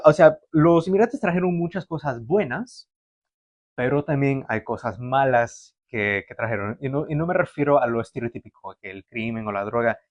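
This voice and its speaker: A man's voice: a little high, dry recording, muffled recording. A young man born in Canada, preaching a sermon to a livestream audience in a conversational way, with fillers and restarts. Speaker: pastor.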